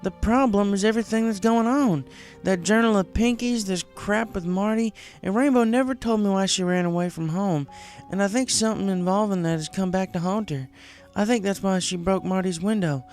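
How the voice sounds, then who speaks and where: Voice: mid-range voice, moderate pace, few pauses, a little mellow, soft background music, voice pushed up, natural voice. A performer voicing an earnest, troubled young female farm pony in a story reading. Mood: troubled, tearful.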